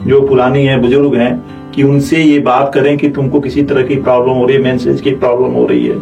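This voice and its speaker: A man interviewing a guest conversationally, phrasing his points in a halting, roundabout way. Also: mid-range voice, little pitch movement, creaky, a little mellow. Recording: dull, distant mic, faint music behind.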